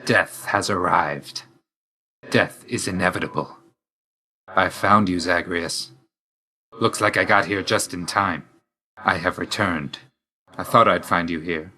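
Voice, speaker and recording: A video game god of death with a low voice and a hard, forceful edge. He is grim and reserved, and he speaks in terse, solemn phrases. A dry loyalty sits under the sternness. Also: steady tone, slow pace, pauses often, heavily acted, rough recording. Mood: stern, commanding, then reserved, wry. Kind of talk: scripted video game voice lines.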